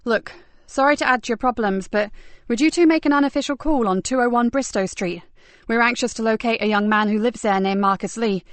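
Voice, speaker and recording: A woman reading a forceful female detective inspector in an audiobook, speaking loudly and urgently. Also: a little high, brisk, dry recording, lightly acted. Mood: brisk. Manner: sharp and urgent.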